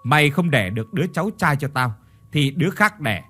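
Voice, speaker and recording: A man voicing a domineering older mother-in-law with a bossy, sharp manner in a dramatic audio story. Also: fairly high pitch, sing-song, quick pace, breathy edge, full character voice. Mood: haughty, scolding. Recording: music behind the voice.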